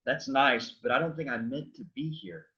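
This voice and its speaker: A man acting a sarcastic, reluctant man just raised from the dead, deadpan, in a staged reading of a comic play. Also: mid-range voice, moderate pace, vocal fry, dull audio. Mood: sardonic, sullen.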